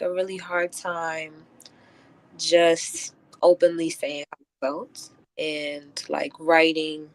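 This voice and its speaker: A woman answering radio interview questions as a guest poet, thoughtful and halting, often filling with "like". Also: on the low side, a little mellow, dry recording, poor audio. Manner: thoughtful, halting, many filler likes. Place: close-miked radio show.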